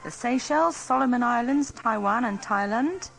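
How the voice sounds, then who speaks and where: A woman speaks as a radio presenter, moving between chat and read-out items. Her voice is fairly high, with noticeable creak. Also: normal speed, a bit bright, poor audio. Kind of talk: reading lists and chatting on air.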